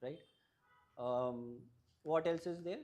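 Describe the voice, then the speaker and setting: A man's voice: fairly high pitch, takes it slowly, darkish tone. A young man whose first language is Malayalam, an exam topper explaining his study strategy in an interview and often checking in with "right?". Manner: advisory, often ends points with a questioning "right?". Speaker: exam topper giving advice.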